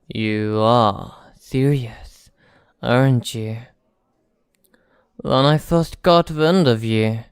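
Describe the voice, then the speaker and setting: A man playing a sly, teasing vampire in an ASMR roleplay, speaking in a deliberate, mocking and condescending way. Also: mid-range voice, clean tone, dry recording. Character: sly, teasing male vampire posing as small-town informant. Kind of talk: ASMR roleplay monologue to the listener.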